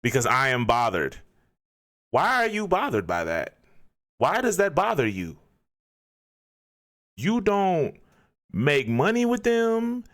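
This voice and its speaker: A man reacting to a video, ranting in disbelief with one rhetorical question after another. His pitch swings widely up and down as he talks. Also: wildly swooping pitch, slow, long pauses, a bit bright. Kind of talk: casual reaction commentary.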